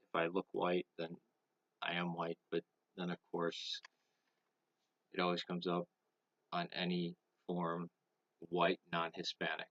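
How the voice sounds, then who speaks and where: A man giving a casual opinion monologue to camera, speaking haltingly with many pauses while smoking. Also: sits low, completely flat delivery, highs cut off. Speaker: vlogger.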